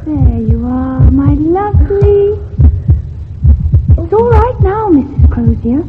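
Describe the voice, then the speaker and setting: A woman playing an eerie, sweetly calm ghost child in a radio thriller, coaxing in a sing-song way. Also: high-pitched, slow pace, heavily acted. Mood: eerily sweet. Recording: muffled, noisy old radio recording with hum.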